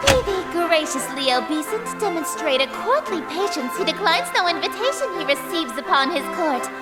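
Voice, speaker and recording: A woman's voice: very high voice, big pitch swings, moderate pace, loud music behind the voice. A woman voicing a haughty, theatrical eldritch goddess girl in a game, coy and menacing with pompous, archaic flourishes. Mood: grandly ceremonial. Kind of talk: scripted visual novel voice acting.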